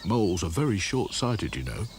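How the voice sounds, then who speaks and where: A man's voice: deep voice, sing-song, moderate pace, a little hiss or hum. A man voicing a cheeky, matter-of-fact boy rag doll who speaks plainly and offhandedly.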